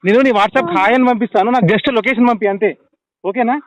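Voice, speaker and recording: Urgent, fast, high-pitched Telangana Telugu man. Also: no echo. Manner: insistent, repeats short questions and instructions. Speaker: police officer on a phone call. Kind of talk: urgent emergency phone conversation. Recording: close dry phone call recording.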